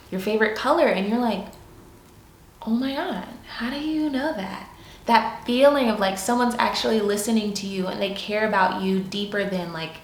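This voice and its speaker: A woman's voice: medium pitch, a little hiss or hum. Young woman vlogging work tips, chatty and upbeat, says like a lot, roomy echo. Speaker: YouTube creator, product and project manager. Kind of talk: casual advice vlog. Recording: echoey room.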